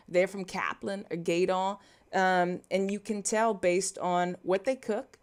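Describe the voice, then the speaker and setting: A woman from Louisiana with a Cajun English accent, casually chatting through a livestream coding tutorial. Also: on the low side. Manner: chatty, reads viewer comments aloud and riffs on them. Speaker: livestreaming developer.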